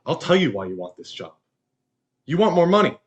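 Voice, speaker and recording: A young husband played by a male student actor in a stage drama, controlling and insecure. His pitch swings widely as he belittles his wife. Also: sing-song, a little fast. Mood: wounded, low. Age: young adult. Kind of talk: stage play dialogue.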